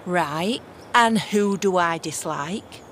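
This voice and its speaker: An actress playing a brooding, dwarf-hating commander in a fantasy audio drama, deliberate and prompting. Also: female voice, fairly low pitch, fairly bright tone, a little hiss or hum. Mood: cold, leading.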